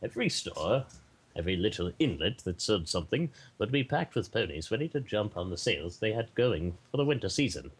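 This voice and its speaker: A man reading a story aloud in an amateur, slightly halting way. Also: lowish voice, very animated, slightly rough. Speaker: podcast participant reading aloud. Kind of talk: amateur story narration with acted dialogue.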